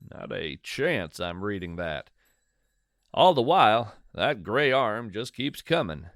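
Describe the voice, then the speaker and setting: Male storyteller host, slow and expressive, folksy and whimsical, conversational musing on a close mic. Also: lowish voice, no echo. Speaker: podcast host and narrator. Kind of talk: in-character whimsical fiction monologue, conversational storytelling.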